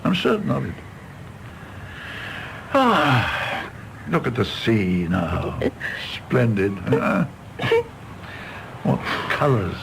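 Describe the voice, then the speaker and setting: An actor playing a world-weary, kindly, self-deprecating older doctor in a radio play, speaking in florid, expressive, drawn-out phrases. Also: male voice, medium pitch, exaggerated voice, low-quality recording. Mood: earnest, wistful. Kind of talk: British stage-play radio drama acting. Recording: clear background noise.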